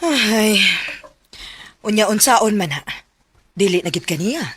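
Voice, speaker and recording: Animated, concerned Cebuano mother. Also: mid-range voice, takes it slowly, dry recording. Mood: gently admonishing. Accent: Cebuano Filipino. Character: concerned middle-aged mother gently counselling her son. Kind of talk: radio drama acting. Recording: clearly noisy background.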